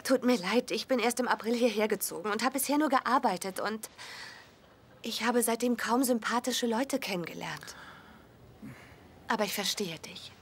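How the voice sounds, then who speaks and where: Sitcom dub: a guarded, apologetic young woman, slightly high voice. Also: moderate pace, breathy edge. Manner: gentle, hesitant, apologetic. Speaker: dubbed sitcom lead.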